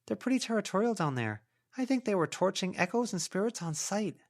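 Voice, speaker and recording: A man's voice: high voice, sing-song, brisk, voice pushed up. A man voicing a blunt, sceptical young girl with a casual way of talking, in an audiobook. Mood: apologetic, insistent. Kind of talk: audiobook character voice.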